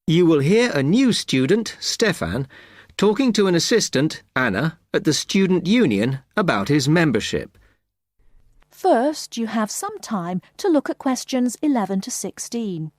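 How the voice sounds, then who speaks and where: Formal woman narrator, lively pitch, dry and a little distant. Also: no room sound. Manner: slow, formal, measured. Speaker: exam narrator. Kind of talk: scripted instructions.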